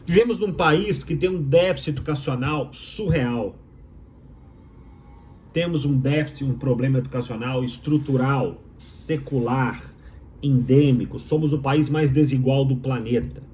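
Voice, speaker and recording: A man's voice: mid-range voice, a little slow, warm tone, slight background noise. A man from Minas Gerais with a Mineiro accent, a doctoral student giving an opinionated explainer to viewers, emphatic and rhetorical, repeating his key phrases. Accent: Mineiro Brazilian Portuguese. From Minas Gerais, Brazil.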